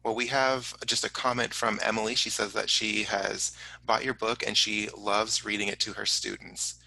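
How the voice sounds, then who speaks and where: A man hosting a casual live question-and-answer program, speaking haltingly as he relays audience questions. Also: lowish voice, little pitch movement, vocal fry, sharp tone, dry recording, rough recording. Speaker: host of a science museum online program.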